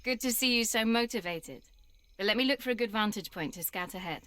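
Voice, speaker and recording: A woman's voice: mid-range voice, fairly quick, sharp tone, no echo, close to an everyday voice. A woman voicing a level-headed, dry female soldier, composed and persuasive, in a video game cutscene.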